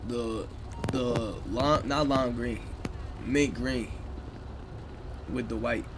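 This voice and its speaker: A man's voice: mid-range voice, steady tone, extremely slow, noisy background. A young man streaming a police roleplay session, giving loose, slangy instructions to his players over a headset.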